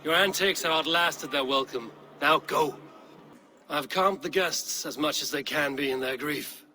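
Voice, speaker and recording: Eivor, a calm, commanding Viking. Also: male voice, on the high side, a little slow. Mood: steady. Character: steady male Viking warrior leader, Eivor. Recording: game audio with ambient background.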